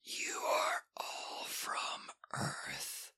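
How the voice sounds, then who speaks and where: A man voicing an ancient gray Martian creature in a slow, solemn, rasping whisper. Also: bright, forceful tone, heavily acted. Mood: stern. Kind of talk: audiobook character voice.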